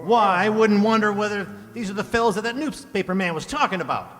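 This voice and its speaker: An actor playing a folksy, excitable small-town police chief, full of exclamations, in a radio serial. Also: male voice, sits high, fast, lightly acted. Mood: surprised. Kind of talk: old-time radio serial acting. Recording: echoey hall.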